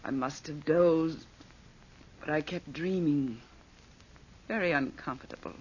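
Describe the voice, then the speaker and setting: An actress playing an ailing, suspicious older aunt with a husky voice in an old-time radio drama. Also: female voice, low-pitched, unhurried, darkish tone. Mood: uneasy. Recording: muffled vintage radio recording.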